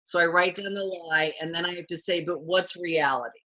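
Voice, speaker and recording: A woman giving a candid personal testimonial, frank and halting with many fillers. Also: on the low side, bright, forceful tone, dry recording.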